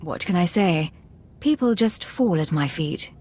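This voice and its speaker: A woman's voice: lowish voice, animated, unhurried, a little mellow. An actress playing a cool, self-assured female undercover agent in a video game, composed, guarded and dryly confident. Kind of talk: video game dialogue acting.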